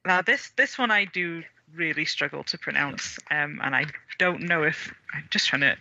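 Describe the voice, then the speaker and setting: Bright-voiced man, hesitant. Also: on the high side, moderate pace, gravelly, no room sound, dull audio. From Wales. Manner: hesitant, many ums and restarts. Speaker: guest. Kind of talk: podcast interview on folklore.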